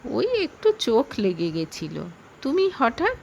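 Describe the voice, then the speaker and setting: An anxious young wife from an audio story, acted out by a woman narrator. Her voice is high and very animated, with a pleading, fretful manner. There is some noise behind it. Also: unhurried, gravelly, muffled recording, full character voice. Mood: nervous. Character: lonely, anxious young wife hiding an affair.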